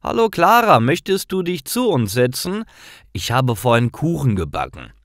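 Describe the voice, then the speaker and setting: A man's voice: medium pitch, very animated, normal speed, dry recording, clean studio sound, voice pushed up. A man voicing a friendly, sociable neighbour in a storybook. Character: friendly neighbour inviting someone over for cake.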